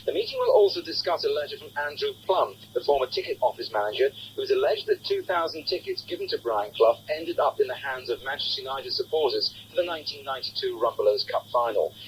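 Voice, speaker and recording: A man's voice: medium pitch, big pitch swings, quick pace, few pauses. A man giving a scripted sports report as a radio correspondent. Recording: faintly noisy old radio broadcast.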